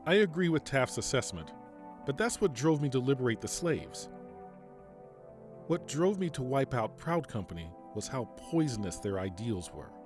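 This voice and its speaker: A man playing a stern, principled army general in an audio drama, speaking formally and deliberately. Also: medium pitch, big pitch swings, over music, lightly acted. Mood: grave, resolute. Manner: formal, measured, deliberate speech. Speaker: military general giving a radio interview.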